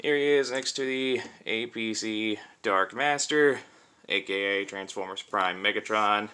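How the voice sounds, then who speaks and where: A man's voice: fairly low pitch, a little slow, pushed and bright, smooth. A man giving a casual hands-on product review in an informal, conversational way, thinking aloud as he demonstrates. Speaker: toy reviewer and host.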